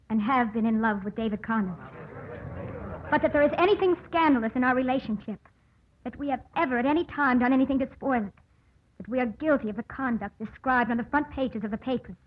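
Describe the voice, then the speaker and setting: A woman's voice: a little high, lightly acted. An actress playing an earnest, composed woman lawyer in a vintage radio drama, speaking formally and emphatically. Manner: formal, emphatic courtroom oratory. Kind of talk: 1940s-50s radio drama acting. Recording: muffled old radio broadcast.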